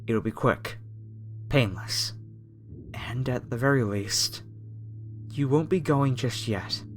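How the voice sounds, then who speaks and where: A man's voice: mid-range voice, lightly acted. A man playing a menacing, reverent cult fanatic in a horror ASMR roleplay, speaking to a captive in a theatrical, falsely soothing way. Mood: regretful menace. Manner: slow, theatrical, preacher-like, softly coaxing. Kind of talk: horror ASMR roleplay, scripted monologue to the listener. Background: faint music.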